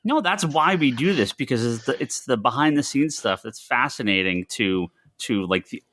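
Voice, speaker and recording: An American man co-hosting a casual discussion of industry news, often halting and repeating phrases. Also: medium pitch, lively intonation, fairly bright tone. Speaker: podcast co-host.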